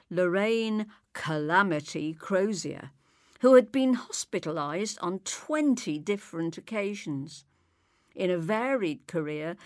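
A woman's voice: medium pitch, dry recording, studio quality. A woman reading scripted narration for an audio magazine. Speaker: magazine programme reader.

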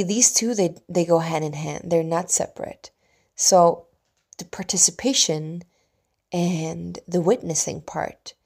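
A woman from Sweden with a slightly low voice speaks English as a second language. She tells a personal story in a casual, reflective way. Her delivery meanders, with pauses and hushed asides. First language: Swedish. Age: adult, mother of young children. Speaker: vlogger telling a personal story.

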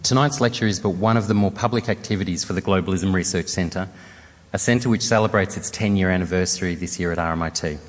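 A man with a very low, deep voice delivers a formal, read-from-notes introduction for an evening lecture. His speech rings with the long echo of a large lecture hall. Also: fast talker. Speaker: event chair introducing the speaker.

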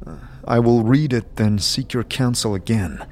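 A man's voice: medium pitch, normal speed, darkish tone, lightly acted. A man playing an amnesiac wanderer in a fantasy drama, earnest and hesitant as he seeks understanding. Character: uncertain amnesiac male wanderer seeking understanding.

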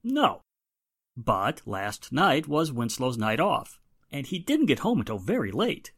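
Guy as a snooty well-spoken radio drama gentleman, formal, pointed, very up and down intonation. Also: mid-range voice, wildly swooping pitch, measured pace, slightly rough, heavily acted. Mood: musing. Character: haughty, well-spoken gentleman, suspicious nephew of wealthy victim. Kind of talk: 1940s radio drama acting.

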